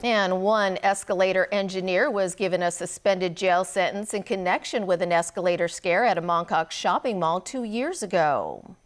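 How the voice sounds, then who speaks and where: A woman's voice: on the low side, moderate pace, hardly any pauses. A woman reading scripted television news as an anchor.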